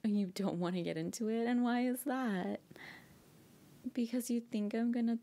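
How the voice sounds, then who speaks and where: Flustered young woman with a creaky voice, acted by a woman. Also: a little high, steady tone, normal speed, warm tone, natural voice. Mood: shy, playful. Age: young adult. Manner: hesitant, giggly, often breathy asides. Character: shy, flustered young woman reuniting with old flame. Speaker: girlfriend roleplay audio performer. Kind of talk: intimate one-sided ASMR roleplay.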